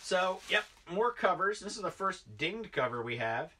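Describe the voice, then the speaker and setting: A man's voice: high-pitched, big pitch swings, moderate pace, pushed and bright, slightly rough. A man in his forties rambles chattily through a tub of old collectibles in his basement, often correcting himself. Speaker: toy collector vlogger. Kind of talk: casual unboxing chat. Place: basement toy room, indoors.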